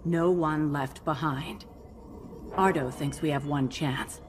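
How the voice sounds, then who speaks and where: A woman playing a grave, resolute alien general who briefs pilots in a measured, commanding way in a video game cutscene. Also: sits low, soft background music. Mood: urgent, resolute.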